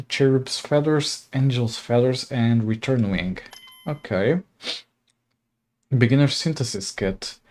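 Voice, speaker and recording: Man, young, from slovakia, gaming streamer, slow relaxed pace, chatty, frequent laughs, desk mic. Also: mid-range voice. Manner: chatty, laughs often, reads game text aloud. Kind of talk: casual gaming livestream chat.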